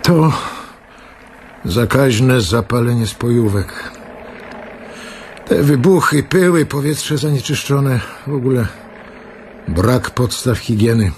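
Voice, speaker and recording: A man playing a grave, plain-spoken doctor in a wartime radio drama, speaking in halting, deliberate phrases. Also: medium pitch, a little mellow, a little hiss or hum. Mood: grave, concerned.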